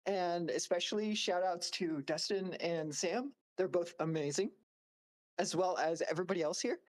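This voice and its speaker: A man's voice: high voice, moderate pace. A man who works as a voice actor, casually introducing himself to a group.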